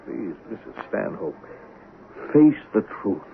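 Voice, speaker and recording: A man's voice: on the low side, big pitch swings, slow pace, vocal fry, full character voice. A man playing an earnest, pressing police sergeant in a radio drama, probing and persuasive as he questions. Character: insistent, persuasive male police sergeant interrogator. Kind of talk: 1970s radio drama acting. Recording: tinny, noisy 1970s radio broadcast.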